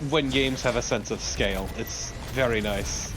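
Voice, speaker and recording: Male gaming co-commentator, average pitch, wry casual banter, prominent music underneath. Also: moderate pace, poor audio.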